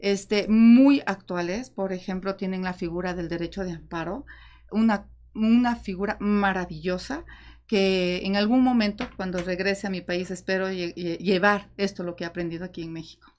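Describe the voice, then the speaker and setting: A woman from Ecuador who speaks with an acquired Mexican accent, a lawyer talking as a guest on a panel in an expressive, easy-laughing way. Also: medium pitch, normal speed. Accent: Mexican Spanish with Ecuadorian traces. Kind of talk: panel talk.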